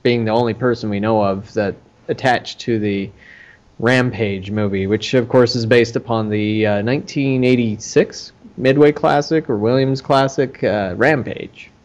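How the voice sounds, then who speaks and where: A man hosting a casual podcast chat about games and movies, speaking in halting, hesitant phrases. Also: medium pitch, a little mellow, lo-fi sound. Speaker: podcast host.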